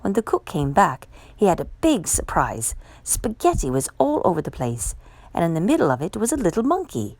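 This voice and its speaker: A woman reading a children's picture book aloud as a storyteller, with expressive, measured delivery. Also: fairly low pitch, dry recording. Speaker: storytime reader.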